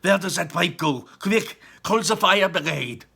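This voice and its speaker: A man's voice: sits high, lively intonation, unhurried, vocal fry, sharp tone, breathy edge, voice pushed up, heavily acted. A man voicing a frantic, indignant storybook mother who screams and snaps in outrage. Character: shrill, frantic, indignant mother of a greedy boy.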